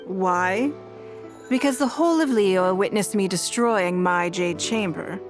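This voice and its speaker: A woman playing a composed, shrewd and wealthy businesswoman in a video game, speaking in a measured, formal way. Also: mid-range voice, close to an everyday voice. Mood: reflective, composed. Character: poised, shrewd, wealthy businesswoman of high standing. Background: background music.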